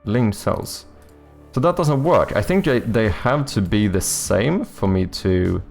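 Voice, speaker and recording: A man's voice: lowish voice, animated, slow, gravelly, darkish tone, faint music behind. A man from Sweden with a Swedish accent, streaming casually and thinking aloud as he works, with easygoing, sometimes sweary chat. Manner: thinks aloud, muttering while working. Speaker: streamer and game developer.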